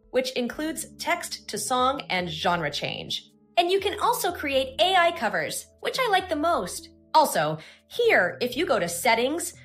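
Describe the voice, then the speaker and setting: Woman doing a software walkthrough, high bright voice, some music behind her. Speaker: software tutorial presenter. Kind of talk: scripted tutorial narration.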